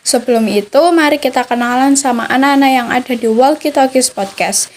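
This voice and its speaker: Slightly high-voiced Indonesian teenage girl host. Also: fairly quick, a little hiss or hum. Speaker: student podcast host. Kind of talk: casual podcast hosting.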